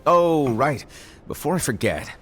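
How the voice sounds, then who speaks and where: An actor playing a playful, eccentric master in a video game cutscene, casual yet theatrical. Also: male voice, fairly high pitch, sing-song, a little slow, a bit bright. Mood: breezy. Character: breezy, eccentric, playful master mentor man. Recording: faint music under the dialogue.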